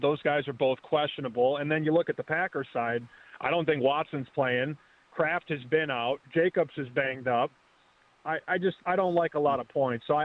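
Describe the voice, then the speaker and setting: A man in his thirties calling in as a betting guest, talking fast and casually, often restarting his words. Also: a little high, dry recording. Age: 30s. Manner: quick, restarts words, chatty. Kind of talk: casual sports betting chat. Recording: phone line.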